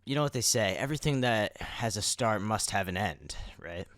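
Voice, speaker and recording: Young male podcast co-host, low clean voice, dry sound, chatty with announcer touches. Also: lively intonation, moderate pace, fairly bright tone, no echo. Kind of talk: casual podcast chat.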